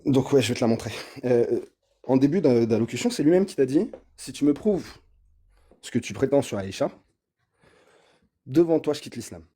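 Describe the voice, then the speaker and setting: A man joins a live online debate with a husky, mellow voice. He speaks hesitantly, with a dry, wry edge. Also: medium pitch, expressive, normal speed, pauses often. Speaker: debate participant.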